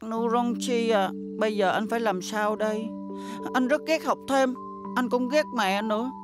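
A woman's voice: fairly high pitch, moderate pace, over music, natural voice. A woman voicing a sensitive, earnest young schoolboy in a cartoon dub, with a childlike delivery. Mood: fed up. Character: sensitive young schoolboy, weary of extra classes.

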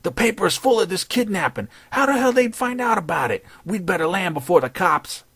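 Jittery gang flunky, done by a man, out of breath, squeaky high. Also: expressive, fairly quick, fairly bright tone, breathy edge, no echo, heavily acted. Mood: panicked. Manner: panting, raised high voice. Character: panicky young male gang henchman.

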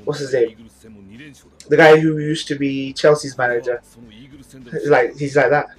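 A young man with a hoarse, flu-worn voice, casually reacting to anime episodes with lots of swearing. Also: medium pitch, fairly even intonation, normal speed, low-quality recording. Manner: casual, swears a lot, coughs now and then. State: hoarse, getting over a flu. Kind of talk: live reaction commentary. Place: small indoor room. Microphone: webcam, headphones on.

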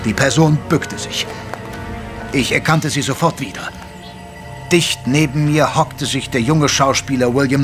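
An actor playing a gentlemanly doctor who narrates an audio drama in articulate, literary, measured speech. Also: male voice, mid-range voice, close to an everyday voice. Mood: tense. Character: gentlemanly male doctor, detective's loyal companion, narrating. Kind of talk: scripted first-person audio drama narration. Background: music.

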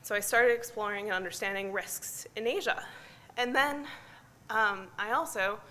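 Bright-voiced Midwestern woman giving a talk. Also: mid-range voice, moderate pace. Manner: candid, self-deprecating, many fillers. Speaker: conference speaker. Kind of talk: personal talk at a science meeting. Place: large conference hall.

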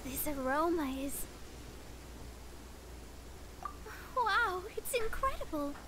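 High-voiced, haughty young witch. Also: big pitch swings, normal speed, heavy background noise. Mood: flustered. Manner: formal, haughty, lofty wording. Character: proud young female astrologist witch, haughty, easily flustered. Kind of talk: video game character acting.

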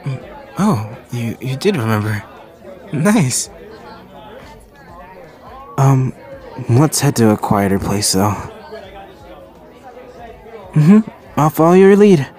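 A man playing a shy, kind teenage hero-school boy in an anime ASMR roleplay, speaking haltingly and apologetically, with stammers. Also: medium pitch, big pitch swings, a little mellow, some chatter behind. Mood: flustered, friendly.

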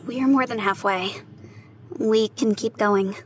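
A woman's voice: mid-range voice, slow, very noisy, lightly acted. An actress playing a nervous young woman in a horror audio drama, a loyal friend who talks in questions. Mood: questioning.

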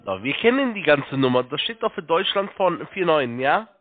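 A man working as a phone agent, giving halting, repetitive step-by-step instructions over a narrow phone line. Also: fairly high pitch, big pitch swings.

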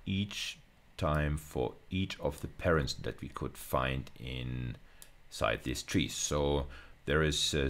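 A middle-aged man with an extremely low voice and plenty of gravelly fry. He lectures informally, walking through material one step at a time, repeating and rewording phrases as he thinks out loud. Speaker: university lecturer. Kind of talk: informal screencast lecture, explaining code step by step.

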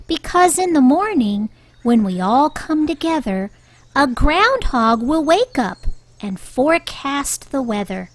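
A woman reading a children's story aloud in an expressive, rhyming sing-song way, with deliberate delivery. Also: high voice, no echo. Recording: music bed under the voice.

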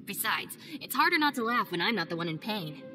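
A woman voicing a calm, deadpan and teasing young female ninja deputy in a game cutscene. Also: medium pitch, fairly quick, over loud music, lightly acted. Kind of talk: video game cutscene voice acting.

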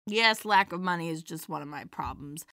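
A woman playing a feisty, warm-hearted elderly stand-up comedienne in an audio drama, with wry theatrical comic delivery. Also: fairly low pitch, normal speed, no room sound, clean studio sound. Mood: wry, playful.

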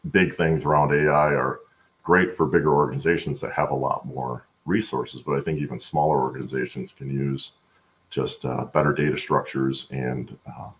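A middle-aged man with a gravelly voice, explaining his work haltingly as an interview guest on a video call. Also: very deep voice. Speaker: guest, supply chain expert. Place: room at home, on a video call.

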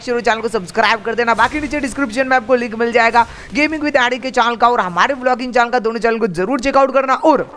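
A man's voice: extremely high pitch, fairly bright tone, slight background noise. A young man hosting a game channel, giving chatty let's-play commentary that he fills with "guys" and "bhai" at every turn. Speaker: gaming channel host.

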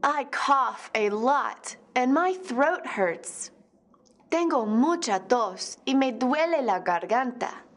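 An adult patient describing her symptoms to a doctor, read by a woman. The voice is slightly high and the pace very slow. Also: lively intonation, heavily acted. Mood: complaining.

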